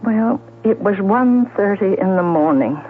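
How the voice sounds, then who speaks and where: A woman's voice: mid-range voice, animated, measured pace, close to an everyday voice. An actress playing a frightened, self-doubting woman in a radio drama, hesitating, stammering and repeating herself. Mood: frightened, shaken. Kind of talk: 1970s radio drama acting. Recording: noisy, telephone-like old radio broadcast, hum.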